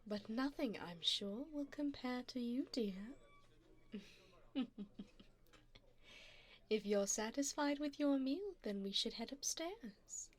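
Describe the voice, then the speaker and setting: Slow, elegant vampire woman, acted by a woman. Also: on the high side, lively intonation. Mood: indulgent, seductive. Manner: formal, teasing, unhurried endearments. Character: elegant, flirtatious vampire woman, refined, calm and predatory. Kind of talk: ASMR roleplay to the listener.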